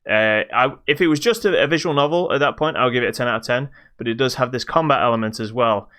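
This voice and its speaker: A man co-hosting a podcast, reviewing games in casual chat with halting explanations that trail into dismissive asides. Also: medium pitch, a bit bright, clean tone. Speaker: podcast co-host.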